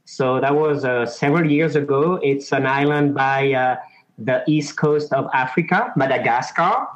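A middle-aged man from Mauritius with a French accent, answering interview questions as a sales professor, pausing often with "uh". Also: medium pitch, poor audio. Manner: thoughtful, many "uh" pauses.

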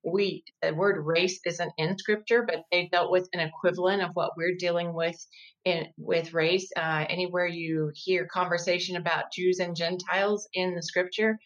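A woman in her fifties speaks as an interview guest, her voice sitting a little low. She explains things in a measured, thoughtful way and sometimes restarts a sentence while she thinks. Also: little pitch movement, dull audio. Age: 50s. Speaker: guest, diversity educator. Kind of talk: conversational interview.

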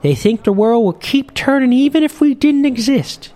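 A man's voice: very high voice, expressive, normal speed, dark tone, pitched above the performer's own voice. A man voicing a short, jolly old fishing boat captain who talks in a chatty, boisterous, lilting way.

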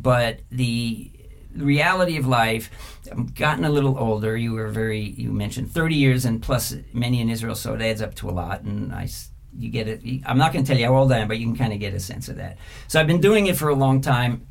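A middle-aged man answering interview questions thoughtfully, pausing often with fillers. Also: medium pitch. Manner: thoughtful, halting, many filler pauses. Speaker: interview guest, former journalist.

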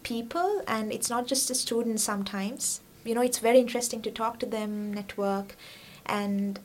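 A woman's voice: on the high side, moderate pace. Chatty young woman from Sri Lanka. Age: young adult. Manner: chatty, many fillers like "like" and "you know", laughs often. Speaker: international student guest. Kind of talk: casual interview.

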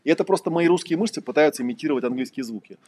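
A man's voice: on the high side, lively intonation, rapid-fire, mellow, a little hiss or hum. A man giving an informal lecture, conversational and rambling, telling anecdotes with a wry manner. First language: Russian. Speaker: trainer, lecturer.

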